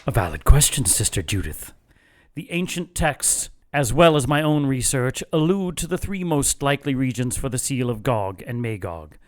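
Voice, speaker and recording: An actor playing a fanatical cult leader in an audio drama, lecturing in a measured, contemptuous and gloating way. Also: male voice, medium pitch, big pitch swings, lightly acted. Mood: solemn zeal. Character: fanatical cult leader brother plotting apocalypse, calm and contemptuous. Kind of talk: audio drama villain monologue.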